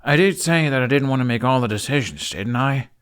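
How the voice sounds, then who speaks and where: A man voicing an earnest, irritable young Viking in an audiobook, speaking in a halting, insistent, exasperated way. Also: mid-range voice, lively intonation, a bit bright, clear, resonant tone, pitched above the performer's own voice, natural voice. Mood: irritated. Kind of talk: audiobook character acting.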